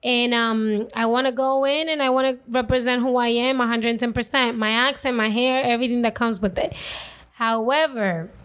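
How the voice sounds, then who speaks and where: A young woman speaks in a relaxed, animated way, often laughing and slipping into Spanish. Her voice sits a little high. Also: normal speed. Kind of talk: casual chat.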